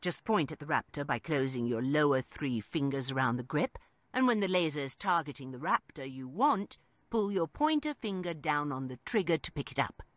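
A woman's voice: low voice, expressive, moderate pace, no echo. A woman playing a sarcastic shopkeeper who also narrates, in a video game. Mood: dry. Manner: sarcastic, dry teasing. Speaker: comic shop clerk and narrator.

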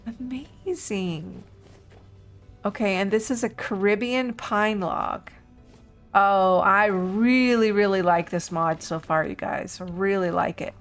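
A woman's voice: medium pitch, steady tone, slow pace, faint music behind. A woman hosting a casual let's play, thinking aloud unhurriedly while getting over an illness. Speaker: gaming video host.

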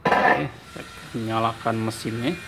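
Flat-toned Indonesian man over clear noise. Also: medium pitch, a little slow. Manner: explanatory, repeats key points. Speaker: product demonstrator. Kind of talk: casual product demonstration. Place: indoor workshop. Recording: clear workshop hum and ambient noise.

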